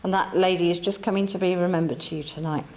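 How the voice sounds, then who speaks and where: A woman's voice: fairly low pitch, fairly even intonation, fast talker, darkish tone. A woman working as a medium, talking to a church audience in a chatty, coaxing way that circles back and repeats itself. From England. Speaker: spiritualist medium. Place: church hall.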